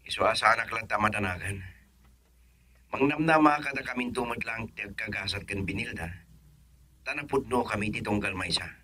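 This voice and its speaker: A man playing a bright-voiced devoted son. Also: on the low side, a little fast. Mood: earnest. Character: devoted young adult son, hopeful in love. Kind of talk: radio drama acting.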